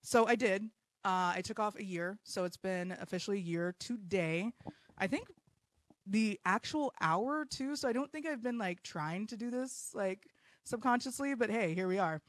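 Woman in her 30s, podcast host, blunt and self-mocking, mid-pitched, dry close sound. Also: normal speed, no echo. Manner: blunt, self-deprecating, swears freely. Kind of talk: casual live podcast monologue. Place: home basement.

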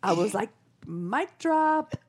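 A woman co-hosting a casual chat, telling stories in a lively way, a bit hoarse. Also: on the high side, slow pace, no room sound, studio quality. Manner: storytelling, lively. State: hoarse from coughing. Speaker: co-host.

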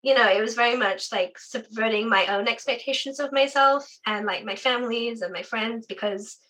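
A young adult guest from the UK, talking casually and chattily in an interview, with lots of "like" fillers and a sore voice. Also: female voice, fairly high pitch, normal speed, a bit bright, low-quality recording. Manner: chatty, frequent "like" fillers, laughs often.

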